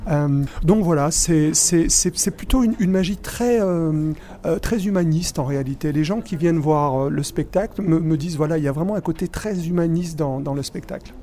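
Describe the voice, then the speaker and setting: A middle-aged man, born in Spain and raised in Belgium, speaking as a magician guest in a casual interview, animated and full of restarts. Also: fairly high pitch, normal speed, a little hiss or hum. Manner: animated, many restarts and fillers.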